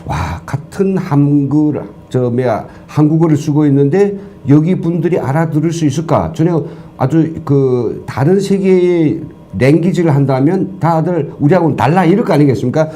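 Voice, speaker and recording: A man's voice: a little high, moderate pace, dark tone. A man from Korea, a former professor giving earnest, lecture-like explanations as a talk show guest. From North Korea.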